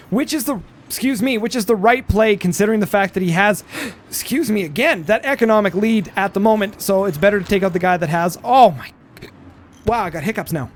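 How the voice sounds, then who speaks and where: Male game caster, high voice, hoarse from long sessions, rambling play-by-play over faint game noise. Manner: energetic, rambling, many fillers and restarts. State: hoarse, losing his voice. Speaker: game commentator. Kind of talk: live play-by-play commentary.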